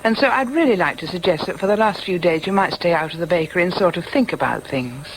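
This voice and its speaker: A woman's voice: on the low side, expressive, fast talker, a little hiss or hum, low-quality recording. A woman playing an anguished middle-aged mother in a British radio drama, hesitant and agitated, thinking aloud as doubts crowd in. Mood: strained politeness. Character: anguished, self-doubting mother clinging to her religious certainty. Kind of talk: British radio drama acting with inner monologue.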